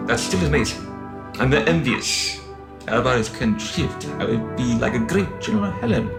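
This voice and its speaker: A man's voice: medium pitch, sing-song, normal speed, vocal fry, music in the background. A man playing an alien conscript soldier in an audio drama, blunt and wistful, speaking in literal, formal phrases with puzzled questions. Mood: wistful, resigned.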